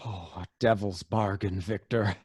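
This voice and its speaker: An actor playing an anxious, pleading male friend in old time radio melodrama, husky, halting and emphatic. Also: on the low side, fairly even intonation, darkish tone, no echo. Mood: alarmed, warning.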